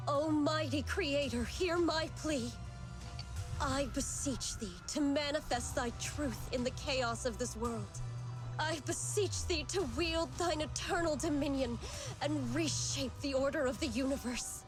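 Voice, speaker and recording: A woman's voice: sits high, measured pace. An actress playing an earnest, solemn young woman in a dramatic game style. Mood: pleading. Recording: game music under the voice.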